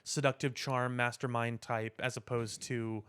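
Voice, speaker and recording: A man's voice: medium pitch, flat delivery, a little slow, a bit bright, clear, resonant tone. A man co-hosting a podcast, explaining his opinions in casual, opinionated talk about superhero films. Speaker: podcast co-host.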